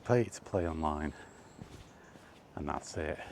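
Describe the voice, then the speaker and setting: British man, low voice, unhurried rambling monologue, hesitant and a bit grumbly, noisy outdoor recording. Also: animated, darkish tone. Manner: hesitant, meandering, mildly grumbling. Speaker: vlogger. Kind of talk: rambling casual monologue while out walking. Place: outdoors, walking in a park.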